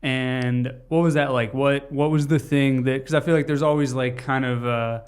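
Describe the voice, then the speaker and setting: A man's voice: medium pitch, steady tone. Chatty joking podcast host man. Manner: chatty, joking, quick questions. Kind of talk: casual podcast interview. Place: podcast studio.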